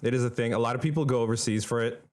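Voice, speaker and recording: A man's voice: medium pitch, fairly even intonation, rapid-fire, darkish tone. A young man from the Philippines chatting casually as a podcast co-host, in an informal, emphatic and slangy way. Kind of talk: casual conversational chat.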